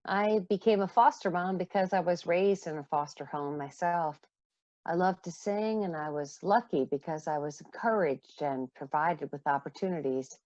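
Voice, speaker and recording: A woman's voice: fairly low pitch, moderate pace, darkish tone, no echo, highs cut off, natural voice. A woman playing a devoted foster mother, a retired singer, in a radio play. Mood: warm, reflective.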